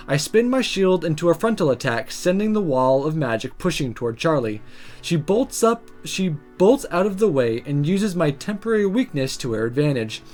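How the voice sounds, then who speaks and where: A man reading visual novel text aloud as dramatic, expressive first-person story narration. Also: fairly high pitch.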